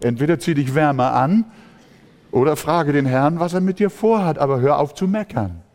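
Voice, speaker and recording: A man's voice: a little high, lively intonation, moderate pace, darkish tone. A man preaching a sermon as pastor, impassioned, sometimes mimicking grumbling churchgoers. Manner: impassioned preaching, acts out complaining voices. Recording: long hall reverb.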